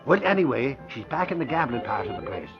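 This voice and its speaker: Blustering male sleuth, excitable, swooping pitch, muffled vintage radio drama over music. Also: fast talker. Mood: agitated, indignant. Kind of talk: old-time radio crime drama acting. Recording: muffled old radio recording, music behind.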